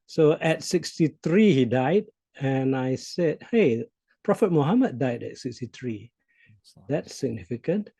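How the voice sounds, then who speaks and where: A man in his sixties from Malaysia, a Malay speaker, speaking reflectively as an interview guest, with hesitations and soft laughs. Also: mid-range voice, expressive, measured pace, gravelly, slightly rough, no room sound. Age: 60s. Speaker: CEO coach guest. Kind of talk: interview conversation.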